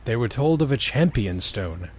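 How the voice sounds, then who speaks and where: A man's voice: mid-range voice, lively intonation, moderate pace, slightly rough. A man hosting a comic reading of fan fiction, mixing mock-grand narration with amused asides. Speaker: podcast host.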